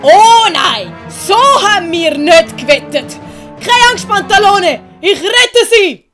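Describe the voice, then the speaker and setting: A woman playing an excitable, naive male servant clown in an audio play, full of exclamations. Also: extremely high pitch, slow pace, faint music behind, pitched above the performer's own voice, exaggerated voice. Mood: alarmed. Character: excitable, simple-hearted male servant clown, food-loving. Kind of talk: commedia dell'arte audio play acting.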